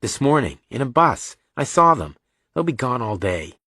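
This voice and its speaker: A man's voice: medium pitch, big pitch swings, normal speed, sharp tone, no room sound, pitched above the performer's own voice, heavily acted. A defiant young boy from an audiobook, as a male narrator acts him out. The voice is lifted well above the man's own and pushed harder. He argues bluntly and cockily. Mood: matter-of-fact. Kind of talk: audiobook character dialogue.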